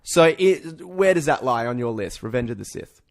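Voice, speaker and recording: A man's voice: medium pitch, animated, moderate pace, gravelly. A man co-hosting a podcast, talking casually and informally like an opinionated fan. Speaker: podcast co-host. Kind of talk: casual pop-culture chat.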